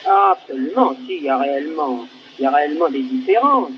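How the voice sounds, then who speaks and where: A man's voice: on the high side, lively intonation, fast talker. A man from Paris with a Parisian accent and a drawling voice, chatting as an interviewee on a muffled, noisy early recording. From Paris, France. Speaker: interviewee, upholsterer. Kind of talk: informal interview conversation.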